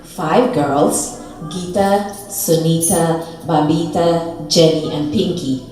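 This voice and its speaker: A woman giving a tender, measured eulogy for her grandmother at a funeral. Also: low voice. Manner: slow, tender, measured delivery with soft fond asides. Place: funeral hall. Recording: echoey hall from far off, faint noise.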